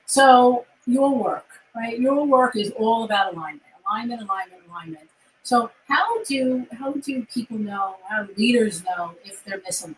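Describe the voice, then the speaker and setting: A woman's voice: fairly high pitch, animated, moderate pace, slight background noise, low-quality recording. A middle-aged woman with a New York accent, hosting a casual interview chat and speaking in an upbeat, emphatic way. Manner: upbeat, emphatic, repeats words for stress. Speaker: host, career coach.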